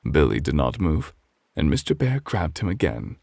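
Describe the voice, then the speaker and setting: A man playing a calm, refined gentleman who reads a bedtime story as gentle, measured ASMR close to the microphone. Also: rumbling low, gravelly, no echo, heavily acted. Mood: soothing.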